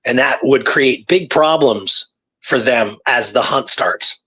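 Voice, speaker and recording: A man hosting a talk show, retelling a true story in a casual, animated way with repeated phrases and pauses for drama. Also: mid-range voice, husky, poor audio. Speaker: podcast host telling a true historical story.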